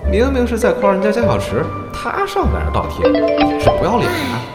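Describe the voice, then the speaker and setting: A woman's voice: mid-range voice, huge pitch swings, brisk. A woman playing an indignant, scornful celebrity fan in an audio drama. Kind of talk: acted dramatic dialogue. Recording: echoey reverb over loud music.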